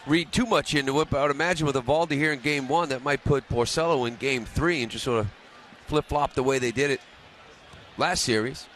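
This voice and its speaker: Forceful, bright-voiced male baseball analyst. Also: medium pitch, brisk. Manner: opinionated, conversational. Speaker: radio baseball color analyst. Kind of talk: live color commentary. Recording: radio broadcast with clear stadium noise. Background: outdoor ballpark ambience.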